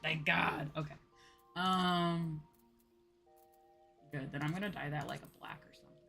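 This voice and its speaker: A woman with a slightly low-pitched voice talks casually as she plays a game on a livestream. She thinks aloud in an informal, chatty way, full of little filler phrases like "you know". Music plays clearly behind her. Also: steady tone, unhurried, fairly bright tone. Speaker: streamer playing an online game.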